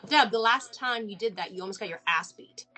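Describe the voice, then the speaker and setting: A woman's voice: mid-range voice, a little fast, bright, forceful tone, faint music behind. A woman reading the part of a brash, foul-mouthed, folksy small-town friend at a film table read. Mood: warning. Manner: salty, folksy, colloquial.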